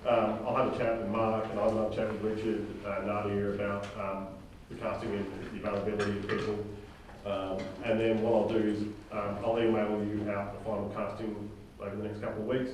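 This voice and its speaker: A man's voice: lowish voice, level tone, brisk, a little mellow. Echoey, far-off man briefing a group. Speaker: project leader and director running a table read. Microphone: distant room microphone. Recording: distant, echoey room with clear noise.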